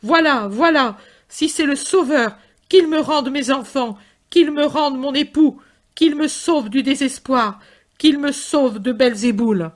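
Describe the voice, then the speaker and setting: A woman's voice: sits high, slow pace, pitched above the performer's own voice. A woman voicing a bereaved mother who wails shrilly and desperately over her dead children. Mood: anguished.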